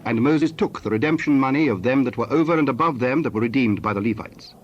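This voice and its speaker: A man narrating scripture from a script in a formal, measured storytelling manner. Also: medium pitch. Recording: dull sound with faint background noise.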